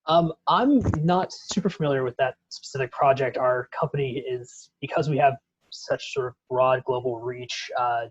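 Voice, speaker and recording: Husky man speaking over a dry, muffled video call, relaxed guest speaker hedging with fillers. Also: mid-range voice, normal speed. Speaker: guest speaker from a development firm. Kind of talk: casual Q&A answer.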